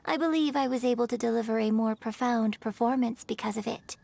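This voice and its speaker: A poised young opera singer, slightly gravelly. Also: female voice, a little high, brisk, slight background noise. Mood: reflective. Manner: refined, gently confident. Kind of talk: game voice acting.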